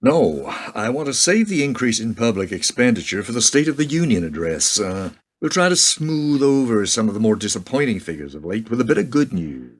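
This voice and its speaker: An actor playing a pragmatic, questioning American President in a radio play. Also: male voice, on the low side, sing-song, fairly quick, hardly any pauses. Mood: probing, concerned. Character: male US President, pragmatic, questioning, politically calculating.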